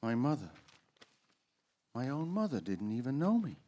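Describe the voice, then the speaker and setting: A man's voice: medium pitch, sing-song, normal speed, mellow, close to an everyday voice. An actor, a man, playing a desperate, emotional husband and father in an old-time radio play, pleading and urgent. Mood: distraught.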